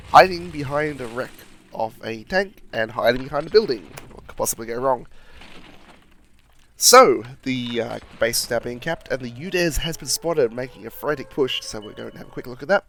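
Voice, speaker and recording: A man with a slightly croaky voice, giving a lively play-by-play voice-over commentary on a video game as the channel's host. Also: mid-range voice, a little hiss or hum.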